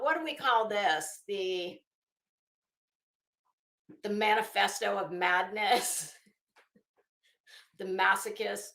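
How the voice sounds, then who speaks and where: A woman's voice: medium pitch, a bit bright. Sassy woman chatting in an echoey room. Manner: sassy, profane, wry. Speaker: livestream host. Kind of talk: casual livestream chat, rambling storytelling.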